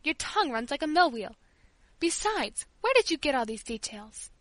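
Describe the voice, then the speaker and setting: A woman reading aloud puts on a bitter, bedridden teenage girl who snaps and scolds. Her voice is high and bright, close and dry in the recording, with a sharp, irritable edge. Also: sing-song, normal speed, no room sound. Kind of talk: audiobook character voice.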